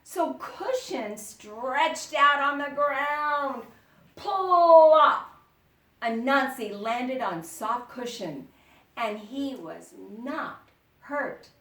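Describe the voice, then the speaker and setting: A woman teacher reading a story aloud to children with dramatic expression, pausing to explain words and ask questions. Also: sits high, animated, fairly bright tone. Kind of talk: animated children's read-aloud with questions to listeners. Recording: roomy echo, distant microphone.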